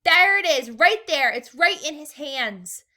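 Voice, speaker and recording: Woman doing a frantic shouting shopkeeper. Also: high voice, animated, unhurried, pitched above the performer's own voice, exaggerated voice. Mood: ecstatic yelling. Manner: yelling, frantic. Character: excitable fat middle-aged male shopkeeper.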